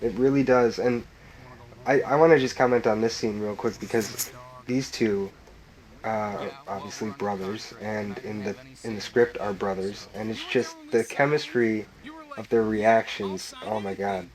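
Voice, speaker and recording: A man's voice: on the low side, steady tone, a little slow, a little mellow, low-quality recording. A man, a filmmaker, chatting enthusiastically through a commentary track and filling his talk with "like" and laughs. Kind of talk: director's commentary chat.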